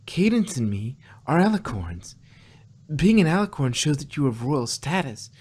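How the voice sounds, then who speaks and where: A man's voice: fairly high pitch, sing-song, normal speed, darkish tone, breathy edge. A man voicing an eager young female pony princess who asks questions in astonishment, in an amateur fan-fiction reading. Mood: curious. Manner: incredulous, questioning.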